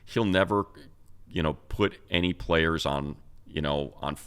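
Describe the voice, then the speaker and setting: A man's voice: very deep voice, unhurried, a bit bright, smooth. A man hosting a sports talk show, casually analyzing in a conversational, explanatory way and posing rhetorical questions.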